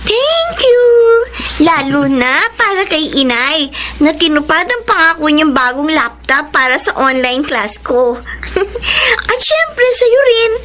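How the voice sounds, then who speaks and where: A woman's voice: sits high, very animated, takes it slowly, noisy background, full character voice. An actress playing a cheerful, adoring young schoolgirl, the little sister, in a radio drama, bubbly and eager. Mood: excited, grateful.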